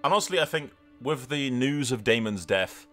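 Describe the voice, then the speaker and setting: A man's voice: mid-range voice, lively intonation, moderate pace, fairly bright tone. A man hosting a gaming video, giving casual, informal commentary that retells a roleplay story in a sweary, tongue-in-cheek way. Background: background music.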